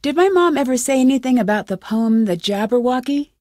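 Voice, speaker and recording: A woman voicing a young amateur detective in a video game, inquisitive and determined, with a clear, earnest, questioning delivery. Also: high-pitched, animated, brisk, darkish tone, no echo, studio quality. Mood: probing, insistent. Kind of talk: scripted game dialogue, isolated lines.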